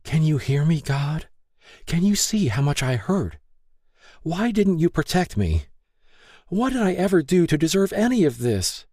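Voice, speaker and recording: A distraught young woman pours out anguished, pleading questions in prayer, as a male narrator raises his voice to play her. Her lifted voice keeps a husky, dark edge and rises and falls widely. The recording is close and dry, like a storybook reading. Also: a little high, sing-song, unhurried, dry recording, pitched above the performer's own voice. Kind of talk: audiobook character reading.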